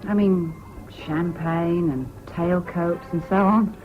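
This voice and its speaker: An actress playing a frank, bossy but affectionate young British woman in a radio drama, brisk and teasing. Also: on the low side, steady tone. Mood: wistful, candid. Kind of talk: BBC radio drama acting. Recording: dull lo-fi old radio broadcast, hissy.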